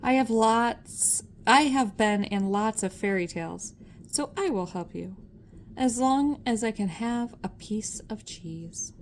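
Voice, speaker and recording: A woman's voice: fairly high pitch, takes it slowly, slight background noise. A woman voicing a small, kindly storybook mouse, friendly and reassuring.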